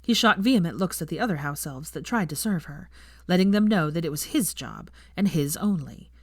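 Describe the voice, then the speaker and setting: Lowish-voiced woman narrating a story. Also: lively intonation, a little fast, a little mellow, dry recording, studio quality. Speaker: narrator of fan fiction readings.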